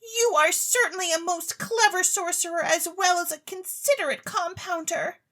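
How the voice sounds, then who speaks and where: A woman's voice: very high voice, moderate pace, sharp tone, pitched above the performer's own voice. A woman voicing a vain, dim-witted, gushing young lady of wealth in a theatrical storybook reading. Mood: delighted gushing.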